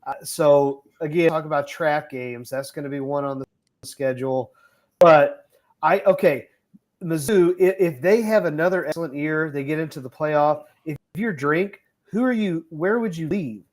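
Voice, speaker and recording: A man's voice: a little high, moderate pace. A man co-hosting a sports podcast, posing questions and arguing through what-ifs. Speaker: podcast co-host, coach. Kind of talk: casual sports talk.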